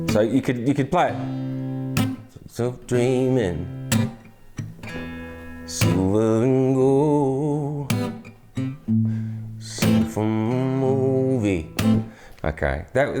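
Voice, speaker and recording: Deep-voiced man teaching guitar casually. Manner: relaxed, chatty, many restarts and fillers. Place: indoor studio, brick wall backdrop. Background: acoustic guitar playing.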